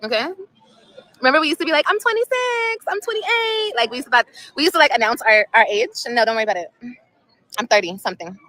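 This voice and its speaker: A woman's voice: high-pitched, expressive, fast, sharp tone, no echo, poor audio. Emotional woman in her thirties livestreaming. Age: 30s. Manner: emotional, rambling, repeats herself. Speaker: vlogger. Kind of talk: casual livestream chat. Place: outdoors at sunset.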